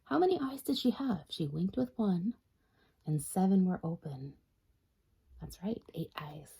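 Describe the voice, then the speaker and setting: A woman reading a picture book aloud as a storytime librarian, expressive and talking directly to young listeners. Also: on the low side, measured pace, creaky, breathy edge. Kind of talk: children's read-aloud narration.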